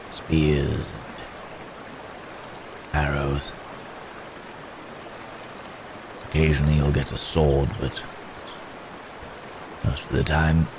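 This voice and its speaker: Guy doing a really deep slow werewolf man, hurt and gentle, halting, asmr roleplay with rain noise behind. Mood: reassuring, weary. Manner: halting, hesitant, with pained sighs and hums. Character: wounded, gentle cursed werewolf man, weary but reassuring. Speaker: audio roleplay performer.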